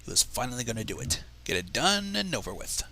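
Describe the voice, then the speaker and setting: A man's voice: mid-range voice, very animated, fast talker, sharp tone. A man reading a story aloud in a dramatic, wry manner, slipping into casual asides between narrated passages. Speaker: livestream fanfic reader.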